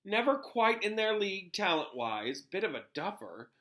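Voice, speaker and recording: A man's voice: high-pitched, sing-song, normal speed, fairly bright tone, voice pushed up. A man voicing a stern older female professor in a storybook, regretful and tearful, speaking in emphatic, clipped phrases.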